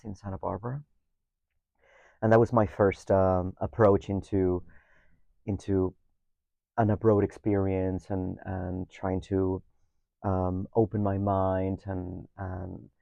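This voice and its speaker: A Mexican man in his thirties speaks as an interview guest, his low voice a little gravelly and mellow. He often stops, repeats words and tags sentences with a questioning "no?". The recording is dry and slightly dull. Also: no room sound. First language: Spanish. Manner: hesitant, repeats words, ends with "no?". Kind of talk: reflective conversation.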